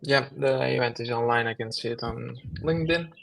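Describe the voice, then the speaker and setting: Male co-host from Ukraine, nervous and halting, starting a webinar on a call. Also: medium pitch, creaky, fairly bright tone. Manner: admits nerves, halting with restarts. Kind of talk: live webinar, casual opening chat.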